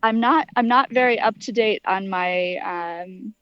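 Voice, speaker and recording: A woman's voice: medium pitch, a little slow, no room sound. Articulate American woman in dull, lo-fi audio. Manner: articulate, explains in detail. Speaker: interview guest, aspiring astronaut. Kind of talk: informal explanatory interview answers.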